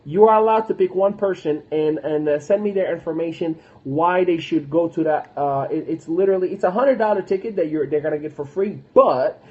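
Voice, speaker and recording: A man's voice: a little high, brisk, hardly any pauses, narrow, tinny audio. A young man from Morocco, a motivational speaker, talking earnestly on a livestream with many fillers and restarts. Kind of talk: casual livestream talk.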